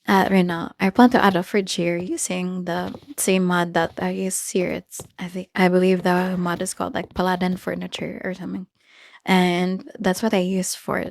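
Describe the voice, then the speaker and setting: A woman's voice: fairly low pitch, creaky, dry recording, studio quality. A woman giving a casual, unscripted video game tour commentary, hesitant and often searching for words. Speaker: gamer showing off own Minecraft village build.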